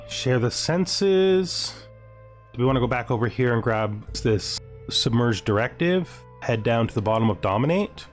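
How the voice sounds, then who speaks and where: A man giving casual gaming commentary, thinking aloud and asking himself questions as he weighs his choices. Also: mid-range voice. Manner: asks himself questions, muses over choices. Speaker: gameplay host.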